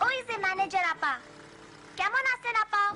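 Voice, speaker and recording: Eager, high-pitched little cartoon girl. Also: moderate pace, music in the background. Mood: friendly. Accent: Bangladeshi. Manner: lively, inquisitive. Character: bright, eager, curious little village girl. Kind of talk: cartoon voice acting.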